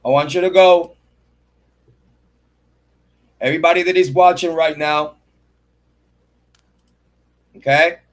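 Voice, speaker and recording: A man's voice: fairly high pitch, fairly even intonation, takes it slowly, long pauses. A man hosting a true crime livestream, ranting in a heated, profane, repetitive way and stopping to thank viewers by name. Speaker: true crime channel host. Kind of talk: livestream commentary rant.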